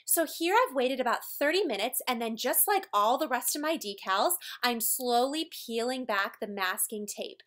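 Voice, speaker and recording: High-voiced woman presenting a tutorial. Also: a bit bright. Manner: clear step-by-step explaining. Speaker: tutorial presenter for a decor company. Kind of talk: scripted instructional narration.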